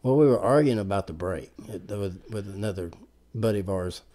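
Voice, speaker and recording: Mellow, low-voiced man. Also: normal speed. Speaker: podcast guest. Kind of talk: casual chat about golf.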